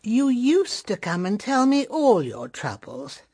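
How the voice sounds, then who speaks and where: An actress playing a gentle, shrewd elderly grandmother in a period radio drama, speaking in a tender, reflective and knowing manner. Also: fairly high pitch, big pitch swings, slow pace, slightly rough, no room sound, clean studio sound, full character voice. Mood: gently probing. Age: older.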